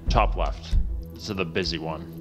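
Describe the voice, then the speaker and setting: A man's voice: deep voice, fairly even intonation, normal speed. A man chatting casually as he plays a co-op heist game with his team. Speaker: gamer playing a co-op heist. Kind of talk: casual gameplay chat. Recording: game music behind voice. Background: video game music.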